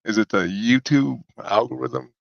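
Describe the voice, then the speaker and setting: A man's voice: medium pitch, measured pace, vocal fry, dry recording, dull audio. A man bantering on a livestream, laughing often and playful. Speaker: guest artist on a drawing stream.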